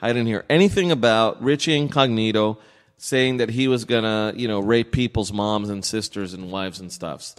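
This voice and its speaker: A man's voice: lowish voice, normal speed. A man hosting a sports podcast in casual, joking banter, with a blunt, crude and opinionated way of talking. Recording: echoey room with long reverb.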